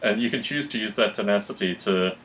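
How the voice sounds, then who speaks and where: A man talking reflectively in conversation, measured, analytical and articulate. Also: fairly low pitch, a bit bright. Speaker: podcast host.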